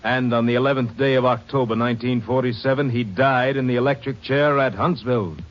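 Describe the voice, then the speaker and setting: A man's voice: medium pitch, steady tone, a little fast. A man giving a solemn, scripted narration in 1950s radio drama style. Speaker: epilogue announcer. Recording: dull 1950s radio recording with music. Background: music.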